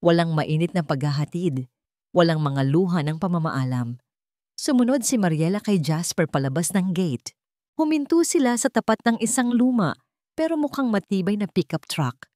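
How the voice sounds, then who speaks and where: A woman's voice: on the low side, a little mellow, no room sound, studio quality. A woman reading a scripted dramatic story as a storyteller.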